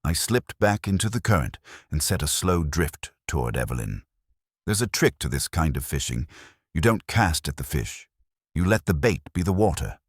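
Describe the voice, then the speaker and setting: Guy narrating a detective audiobook, first person, super low voice, dry close sound. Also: no echo.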